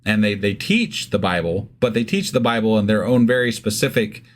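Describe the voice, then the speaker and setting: A man's voice: fairly low pitch, normal speed. A man co-hosting a podcast in casual conversational commentary, informal and emphatic, with some swearing. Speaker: podcast co-host.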